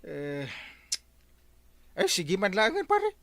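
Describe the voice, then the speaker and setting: A man with an Ilocano accent playing an excitable, stammering, bumbling village captain in a radio comedy. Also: high-pitched, takes it slowly, no room sound, full character voice. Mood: giving in.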